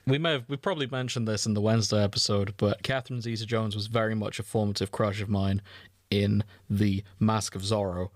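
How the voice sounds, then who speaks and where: A man's voice: lowish voice, fairly even intonation, fairly quick, no echo, studio quality. A man co-hosting a podcast in casual chat, wandering off on tangents as he talks. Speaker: podcast co-host.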